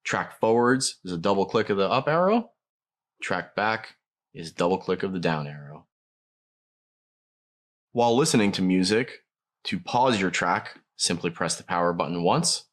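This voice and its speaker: A man's voice: deep voice, lively intonation, moderate pace, long pauses. A man narrating a scripted product tutorial, explaining each step plainly as a demonstrator.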